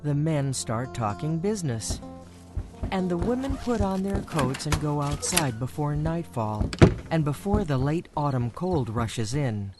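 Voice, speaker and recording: A narrator introducing a horror radio drama in a slow, ominous manner. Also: mid-range voice, big pitch swings. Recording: music score behind.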